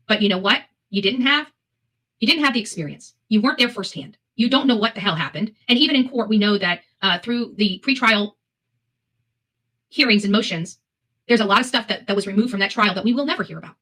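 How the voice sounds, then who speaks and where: Female live commentary host, slightly high, forceful voice, blunt and emphatic opinion delivery with repeated phrases.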